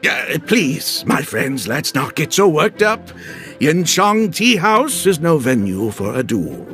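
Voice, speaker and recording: A genial older merchant in a game cutscene, lilting all over the place as he hands out folksy advice. His slightly raised voice is slow and unhurried. He talks like a smooth peacemaker set on calming everyone down. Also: a little high, faint music behind, heavily acted. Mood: placating. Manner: folksy, placating, dispenses worldly advice. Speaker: peacemaking tea house patron.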